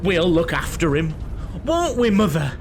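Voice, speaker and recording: A man's voice: on the high side, big pitch swings, a little slow. A man playing a chatty, cheerfully sinister holidaymaker with a Northern English accent, gushing and guileless, in an audio drama. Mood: gushing, excited.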